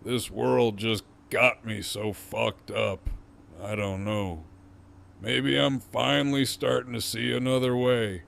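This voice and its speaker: Casting: male actor for gruff, weary, sardonic captive in audio drama; unhurried, creaky, teasing delivery. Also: medium pitch, fairly even intonation, a bit bright, full character voice. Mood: weary, confessional. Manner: slow, wry, teasing drawl.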